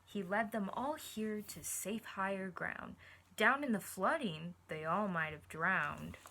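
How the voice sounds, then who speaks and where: Bright-voiced woman reading to children. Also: mid-range voice, slow pace, a little hiss or hum. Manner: addresses listeners directly with questions. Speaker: teacher reading a picture book aloud to children. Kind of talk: animated read-aloud with asides to young listeners.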